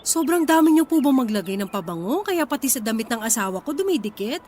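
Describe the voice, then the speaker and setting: A woman's voice: on the high side, very animated, fast, darkish tone, a little hiss or hum. A woman playing a resentful young wife and mother in a Filipino radio drama, polite but needling, with a Filipino accent. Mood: accusing. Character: resentful young wife and mother, sarcastically sweet then confrontational.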